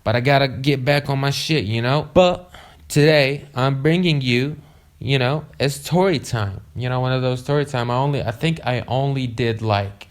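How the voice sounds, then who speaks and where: A young man whose first language is Arabic rambles through a casual storytime. His voice sits in a middle pitch, and he keeps dropping "you know" and swearing as he talks. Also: normal speed. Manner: rambling, profane, many "you know" fillers. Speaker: vlogger.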